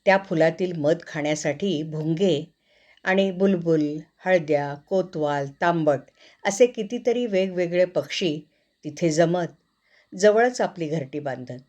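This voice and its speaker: A woman's voice: lowish voice, measured pace, darkish tone, lo-fi sound. A woman storyteller reading a scripted moral tale for children.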